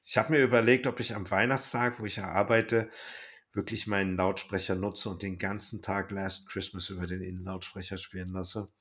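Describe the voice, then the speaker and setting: A man's voice: sits low, little pitch movement, a little fast, fairly bright tone. A man hosting a podcast, chatting informally and a little ramblingly. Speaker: podcast host. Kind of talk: casual chat, podcast sign-off.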